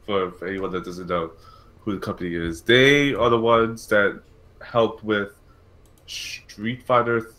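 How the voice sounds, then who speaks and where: A man's voice: on the low side, unhurried, a bit bright. A man hosting a casual gaming talk show, thinking aloud and correcting himself in a conversational way. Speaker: podcast host.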